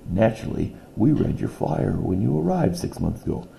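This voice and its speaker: A tiny, cheerful male helper from a children's storybook, eager and loud, as a man reading aloud puts him on with a rough, gravelly edge. The voice is pushed higher than the reader's own. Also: sing-song, normal speed, a little hiss or hum, full character voice. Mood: excited.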